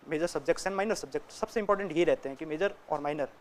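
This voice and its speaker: A man's voice: on the high side, brisk. A young man, a student, giving casual, direct advice to other students and often checking in with them as he talks. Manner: chatty and direct, keeps checking in with listeners. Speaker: student sharing exam tips.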